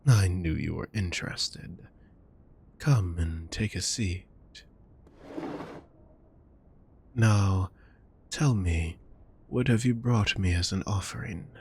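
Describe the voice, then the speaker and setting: A man playing a suave, possessive vampire fortune teller in an intimate audio roleplay, speaking formally and theatrically with breathy murmurs. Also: low-pitched, slow pace, a little mellow, full character voice. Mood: smooth, welcoming. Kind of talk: intimate scripted audio roleplay addressed to the listener.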